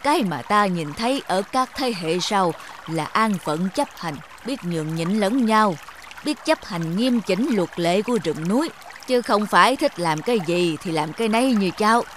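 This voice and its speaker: A woman's voice: medium pitch, lively intonation, normal speed, a bit bright, a little hiss or hum, close to an everyday voice. A woman voicing a huge, stern, proud old mountain in a cartoon fable, in a scolding, pompous manner.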